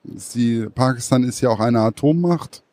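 A man hosts the interview, working his way slowly and hesitantly toward each question. His voice is soft and mellow, and his delivery is unhurried. The recording is close and completely dry. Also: mid-range voice, no room sound. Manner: slow, searching, hesitant phrasing. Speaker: interviewer, host.

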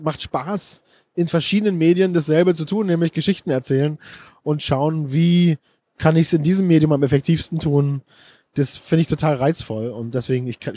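A man's voice: on the high side, dark tone, dry recording. A middle-aged man interviewed at a book fair, speaking thoughtfully with frequent restarts and fillers. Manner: thoughtful, restarts sentences often, many fillers. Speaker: interview guest, screenwriter and director. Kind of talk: casual interview talk.

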